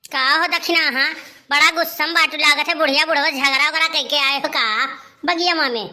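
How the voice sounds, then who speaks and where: A woman's voice: high voice, fairly even intonation, brisk, pushed and bright. A woman playing a heavyset, crafty village elder sister in a comedy cartoon, chatty and coaxing, with an Awadhi accent. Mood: teasing, defensive.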